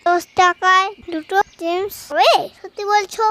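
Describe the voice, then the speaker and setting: Very high-pitched cheeky little girl. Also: steady tone, unhurried, bright, forceful tone, full character voice. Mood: eager. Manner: coaxing, sing-song pleading. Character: cheeky pleading little granddaughter, wheedling and playful. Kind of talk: cartoon voice acting.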